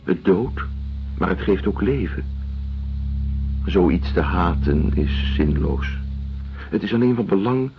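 A man playing a reflective, compassionate doctor in a Dutch radio drama, speaking in measured, philosophical phrases. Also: deep voice, expressive, exaggerated voice. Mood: reflective, solemn. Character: reflective, compassionate, morally indignant male doctor traveling through Russia. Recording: dull lo-fi radio recording, music behind.

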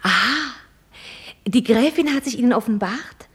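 A husky, proud, sharp-tongued young lady. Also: on the high side, animated, measured pace, full character voice. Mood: cool, teasing. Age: young adult. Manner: ironic, pointedly formal, probing. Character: proud, sharp-tongued young American millionaire's daughter, mockingly polite. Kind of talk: German radio play acting.

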